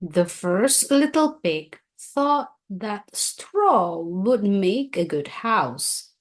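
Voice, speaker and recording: Very slow, expressive woman storyteller. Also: medium pitch. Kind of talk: scripted children's story read-aloud.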